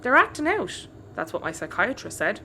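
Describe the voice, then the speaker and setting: A woman's voice: mid-range voice, big pitch swings, moderate pace, pushed and bright, slight background noise. A woman playing a sensible, harried spaceship captain, patient and matter-of-fact but exasperated, in an audio sitcom.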